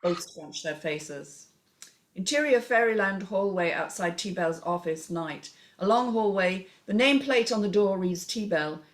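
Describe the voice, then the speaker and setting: A woman's voice: medium pitch, lively intonation, a little slow. A woman narrating a screenplay, reading out scene headings and stage directions as scripted narration.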